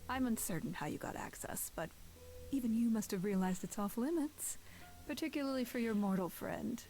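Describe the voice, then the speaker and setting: A woman playing a stern, weary goddess who leads the gods in a video game, speaking in a measured, formal way. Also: a little high, lively intonation, mellow. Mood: cool, pointed.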